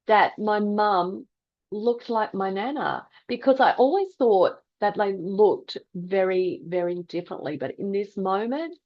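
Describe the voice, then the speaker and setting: A middle-aged woman tells a personal story in a reflective, deliberate way with dramatic pauses. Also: medium pitch, dull audio. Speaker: health vlogger telling a personal story.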